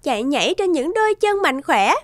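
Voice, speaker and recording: A woman voicing a tiny cheerful girl fairy in an animated cartoon, childlike, exuberant and a little wistful. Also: sits high, fairly quick.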